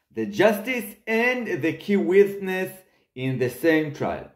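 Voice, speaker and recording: A man with an Israeli accent speaks English slowly and deliberately, in a slightly high voice. He halts often, restarting phrases and filling gaps with "uh". The sound is a roomy phone recording. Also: a little mellow. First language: not English. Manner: halting, many fillers and restarts. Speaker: litigant making a video statement. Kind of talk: self-recorded complaint monologue.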